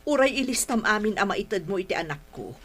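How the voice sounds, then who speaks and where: A woman's voice: medium pitch, very animated, a little fast, fairly bright tone, soft background music. An actress playing a caring, hard-up middle-aged mother in a radio drama, tender and pleading, with an Ilocano accent. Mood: humble pleading.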